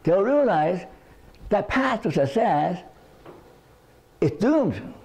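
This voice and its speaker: Older man, lecture, gravelly voice, mellow tone, lapel mic, repeats words. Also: high voice, slow pace. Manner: animated, gesturing widely, repeats words and asks "right?". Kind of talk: lecture to a live group. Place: living room at home.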